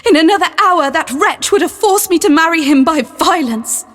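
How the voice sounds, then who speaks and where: A brave young damsel in an old melodrama, extremely high-pitched and urgent. Her pleading voice rings with long reverb. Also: expressive, moderate pace, fairly bright tone. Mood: distressed explaining. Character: beautiful young abducted lady, imploring but brave. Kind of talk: period audio drama acting.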